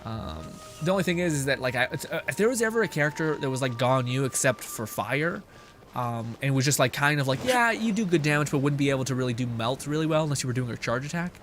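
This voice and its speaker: Rambling, excitable male game streamer. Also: medium pitch, fast, faint music behind. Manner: rambling, excitable, heavy swearing, many fillers. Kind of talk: casual gaming stream commentary.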